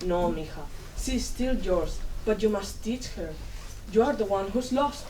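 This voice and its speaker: Woman acting mid-pitched, wise, mystical Cuban elder. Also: expressive, measured pace, lightly acted. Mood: firm, consoling. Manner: firm, gentle, oracular, with Spanish endearments. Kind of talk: dramatic play reading. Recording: distant mic, faint room noise.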